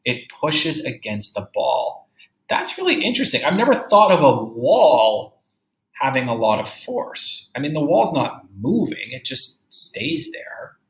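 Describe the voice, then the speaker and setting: A man teaching young children through a read-aloud, reading deliberately and musing aloud with questions for his pupils. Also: mid-range voice, very animated. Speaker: third-grade teacher. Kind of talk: read-aloud lesson with think-aloud commentary. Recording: roomy, echoey indoor sound.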